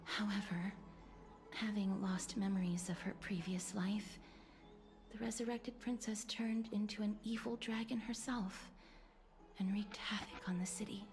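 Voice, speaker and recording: A woman playing a gentle, melancholy young game heroine who speaks slowly, softly and formally in a breathy hush. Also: mid-range voice, fairly even intonation, close to an everyday voice. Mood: wistful. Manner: slow, hushed, breathy, formal delivery. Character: gentle, melancholy, bookish young woman, soft-spoken and courteous. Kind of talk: video game voice acting, story dialogue. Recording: game music behind the voice.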